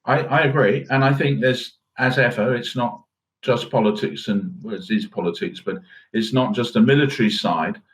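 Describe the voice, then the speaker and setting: A man's voice: mid-range voice, moderate pace, low-quality recording. A middle-aged man co-hosting a current affairs podcast in a musing, understated, conversational way. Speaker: podcast co-host. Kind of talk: casual current affairs discussion.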